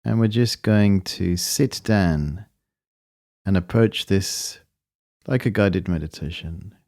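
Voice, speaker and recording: A man with a deep, soft-edged, mellow voice guides a meditation. He gives gentle instructions calmly and very slowly, in a measured way. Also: dry recording, clean studio sound.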